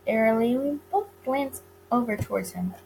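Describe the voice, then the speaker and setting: Higher-voiced woman reading aloud, noisy recording. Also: normal speed, gravelly, darkish tone. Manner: stumbles over words and rereads them. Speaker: let's-play reader of a visual novel. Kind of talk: reading a visual novel aloud, narration and character lines.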